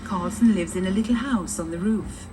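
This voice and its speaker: A woman's voice: mid-range voice, moderate pace, far from the mic, background noise. A woman narrating children's stories in a scripted storytelling style for a story ride, heard from a little way off. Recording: loud music bed under the voice.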